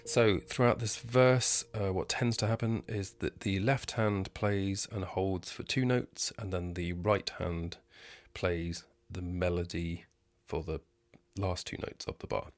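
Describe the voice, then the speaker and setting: A hoarse man hosting a casual piano tutorial at home, talking in a relaxed, halting way and counting beats aloud. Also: sits low. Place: at home, indoors. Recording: piano playing behind the voice.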